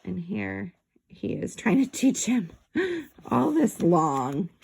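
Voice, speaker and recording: A woman's voice: fairly low pitch, sing-song, slow, vocal fry, a little mellow, breathy edge. A woman reading a children's story aloud expressively, stretching words for emphasis and adding sound effects. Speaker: storybook reader.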